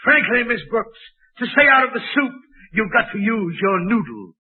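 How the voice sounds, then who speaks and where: Husky, pompous school principal. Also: male voice, very high-pitched, lively intonation, normal speed, heavily acted. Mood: stiff, pompous. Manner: pompous, stiff, humorless. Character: pompous, stuffy middle-aged male school principal. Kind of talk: 1940s radio comedy acting. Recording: narrow, tinny 1940s radio recording.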